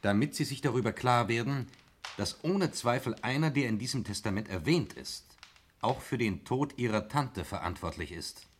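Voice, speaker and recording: A man playing an obliging, matter-of-fact investigator's helper in a 1960s radio crime drama, explaining deliberately. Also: medium pitch, animated, lightly acted. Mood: calm, serious. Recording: old radio recording with clear noise.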